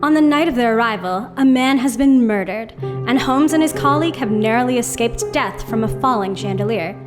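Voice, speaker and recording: A woman announcer giving measured, scripted narration for a radio drama. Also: on the high side, animated. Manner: clear, measured storytelling. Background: music.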